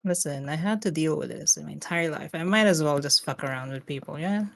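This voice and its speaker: A man with a Filipino English accent and a naturally high-pitched voice, chatting playfully with his raid group over a voice call. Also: fairly quick, vocal fry. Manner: playful, teasing, laughs often. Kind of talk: casual voice chat while gaming.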